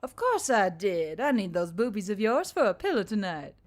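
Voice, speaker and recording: A woman's voice: mid-range voice, big pitch swings, normal speed, lightly acted. A woman voicing a brash, mocking action heroine in a narrated story, with music behind her. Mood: teasing. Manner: mocking, foul-mouthed, cocky. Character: brash, sarcastic, swaggering action heroine named Emily.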